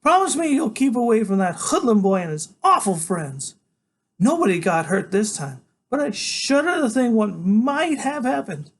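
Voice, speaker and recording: A man's voice: high-pitched, sing-song, moderate pace, voice pushed up, full character voice. A man voicing a fussy, doting grandmother who worries a lot. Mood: worried.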